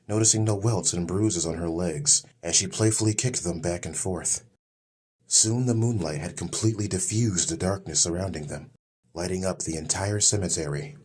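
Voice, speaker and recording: A man's voice: deep voice, noisy background. A man reading scripted fantasy audiobook narration as the storyteller.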